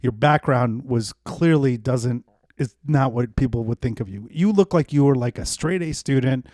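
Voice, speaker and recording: Man, comedy podcast host, dry teasing humor, mid-pitched voice, dead dry sound. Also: normal speed, darkish tone, no room sound. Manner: dry, teasing ribbing of his guest. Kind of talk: casual podcast banter.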